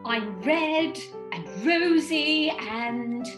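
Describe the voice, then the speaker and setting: A woman's voice: high-pitched, animated, extremely slow, over music, heavily acted. A woman playing a haughty, defiant opera prima donna in an amateur radio play.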